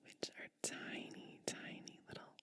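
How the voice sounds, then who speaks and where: A woman performing a soothing, attentive ASMR roleplay as a kind, nurturing witch, in an unhurried manner.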